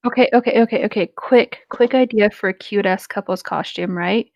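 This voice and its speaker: A woman's voice: medium pitch, a little fast, no echo, dull audio. A woman chatting casually with friends, telling candid stories with plenty of swearing. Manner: candid, animated storytelling with swearing. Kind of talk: casual chat among friends.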